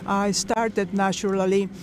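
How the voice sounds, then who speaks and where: A man interpreting a conference panel into English live, halting, with many fillers and self-corrections. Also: sits high, little pitch movement, background noise. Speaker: simultaneous interpreter.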